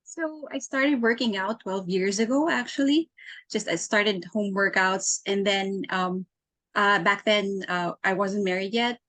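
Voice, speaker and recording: A woman's voice: mid-range voice, measured pace, fairly bright tone, no room sound. A woman from the Philippines whose first language is Filipino, answering interview questions over a video call in a friendly, giggly way with many fillers. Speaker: guest, bikini athlete.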